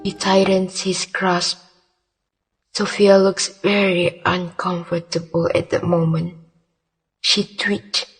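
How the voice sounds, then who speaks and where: A woman narrating a scripted radio drama in a deliberate storytelling manner. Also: fairly low pitch, flat delivery, low-quality recording. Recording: music and crowd noise behind. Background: clear music and crowd ambience.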